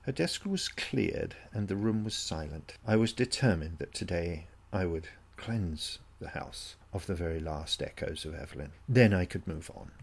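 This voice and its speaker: A man narrating a first-person story from a script in a measured, literary way. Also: deep voice, lively intonation, creaky, husky.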